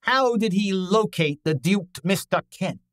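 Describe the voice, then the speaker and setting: A man's voice: high-pitched, measured pace, fairly bright tone, dry recording, studio quality. A man playing a large, pompous, irritable genius detective in an old time radio style drama, speaking formally and with exasperation. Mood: stern, imperious. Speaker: actor in an audio detective drama.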